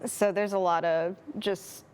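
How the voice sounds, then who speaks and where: A woman speaks as an interview guest from a theater cast. Her voice is smooth and clear, a touch low. She takes her time, sounding conversational and a little hesitant. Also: fairly even intonation, unhurried, darkish tone.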